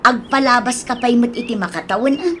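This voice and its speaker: Sympathetic, resigned older sister, high voice, Ilocano accent, radio serial over clearly audible noise. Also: female voice, a little fast, bright, forceful tone. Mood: gentle, resigned. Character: sympathetic elder-sister type woman, consoling and resigned. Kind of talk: Ilocano radio drama acting.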